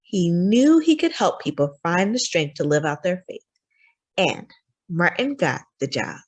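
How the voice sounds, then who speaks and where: A woman reading a children's picture book aloud as a story time host, with expressive, deliberate phrasing for young listeners. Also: on the low side.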